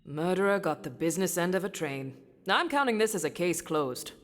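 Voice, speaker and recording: A woman's voice: lowish voice, lively intonation, a little fast, in a large hall, soft background music, lightly acted. A woman voicing a tough, no-nonsense hunter in a video game, blunt and dry. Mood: businesslike.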